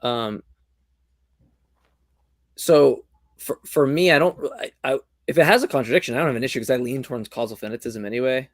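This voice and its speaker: A male host chats casually, joking around and rambling with lots of restarts. His voice sits at an everyday pitch and sounds dry and close to the mic. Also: brisk, long pauses, no room sound. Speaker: podcast host. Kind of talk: casual discussion.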